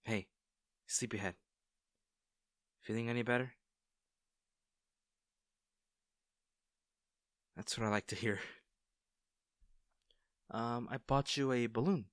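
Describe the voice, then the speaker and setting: A man playing a kind, bashful stranger in an intimate audio roleplay, speaking gently and playfully with some flustered hesitation. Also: medium pitch, a little slow, pauses often, dry recording, clean studio sound, lightly acted. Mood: gentle, reassuring. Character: kind, bashful male stranger comforting an injured crash survivor.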